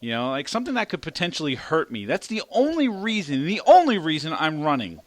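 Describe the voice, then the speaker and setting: A male podcast host who jokes loudly and swears while introducing guests. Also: on the high side, sing-song, brisk, dry recording. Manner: loud, joking, swears. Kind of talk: casual podcast chat.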